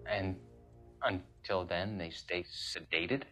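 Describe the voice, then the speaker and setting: An actor playing a polite, earnest young medical student with quiet defiance in a video game drama. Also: male voice, deep voice, slow pace, soft background music, full character voice. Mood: doubtful, apologetic. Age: young adult.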